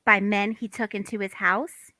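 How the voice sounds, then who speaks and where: A woman's voice: medium pitch, level tone, moderate pace, pushed and bright, no echo, studio quality, lightly acted. A woman reading the part of a guarded, coy housewife in a stage comedy table read. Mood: cool, defiant.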